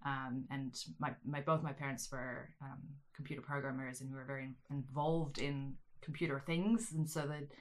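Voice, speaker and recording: Woman guest from South Africa, 30s, very deep voice, thoughtful and meandering, loose casual interview delivery. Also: steady tone, moderate pace, few pauses. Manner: rambling, many restarts and ums. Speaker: guest, media studies teacher. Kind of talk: conversational interview answers.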